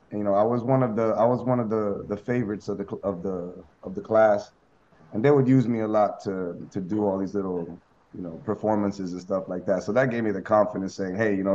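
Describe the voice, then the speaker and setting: A man being interviewed as a guest rapper, talking in a relaxed, easygoing way with lots of fillers. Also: on the low side. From United States. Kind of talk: casual interview on a conference call. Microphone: conference call line. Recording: dull lo-fi call audio.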